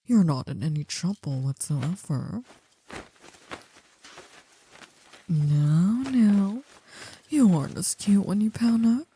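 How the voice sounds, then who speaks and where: A woman playing an older female mafia boss in an intimate ASMR roleplay, possessive, doting and soothing, in a breathy half-whisper. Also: fairly low pitch, mellow, slight background noise, lightly acted. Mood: possessive reassurance. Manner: slow, soothing, coaxing.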